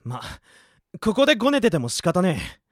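Man acting a blunt, animated teenage boy. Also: sits high, normal speed, no echo, studio quality, exaggerated voice. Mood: wary, resigned. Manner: blunt, grumbling retorts. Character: gruff, exasperated, level-headed teenage boy hero. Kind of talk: Japanese anime-style radio drama acting.

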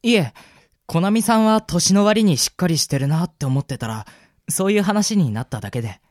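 A woman's voice: lowish voice, lively intonation, brisk, a little mellow, dry recording, studio quality, lightly acted. A woman voicing an earnest, polite, easily flustered young man in an audio drama. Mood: polite, curious. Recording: music under the voice.

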